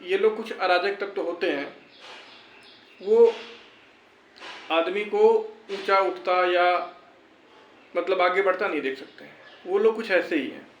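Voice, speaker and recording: A man's voice: high-pitched, a little slow, long pauses. A young man gives an informal motivational address, earnest and reassuring, repeating phrases for emphasis. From India. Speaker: exam-prep vlogger. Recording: echoey room with faint background noise.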